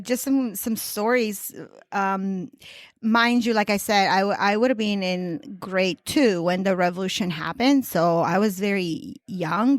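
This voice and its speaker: A woman's voice: mid-range voice, dry recording. A woman in her thirties from Romania with a Romanian accent, chatting as a podcast guest, laughing often and talking with many fillers and restarts. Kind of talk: casual interview chat.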